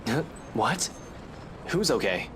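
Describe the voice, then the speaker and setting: A man's voice: medium pitch, big pitch swings, slow pace, background noise. A man voicing a brusque, teasing but loyal young man in a visual novel game, with terse, dry delivery. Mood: defensive, concerned. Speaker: video game character.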